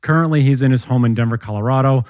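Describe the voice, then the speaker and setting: A man's voice: mid-range voice, fast talker, darkish tone, no room sound, clean studio sound. A man hosting a podcast in a casual, conversational style. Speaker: podcast host.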